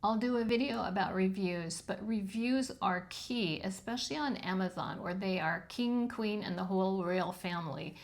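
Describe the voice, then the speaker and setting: A woman over fifty with a high-pitched voice, giving frank, chatty advice on self-publishing with plenty of ums. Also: normal speed, few pauses. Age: 50s or older. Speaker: channel host teaching self-publishing. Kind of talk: casual instructional talk to camera.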